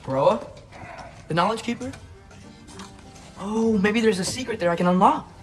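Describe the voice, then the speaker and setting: A man's voice: high voice, a little slow, faint music behind. An actor playing an earnest, curious teenage boy in a video game, talking casually and a bit hesitantly. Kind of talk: cinematic video game acting.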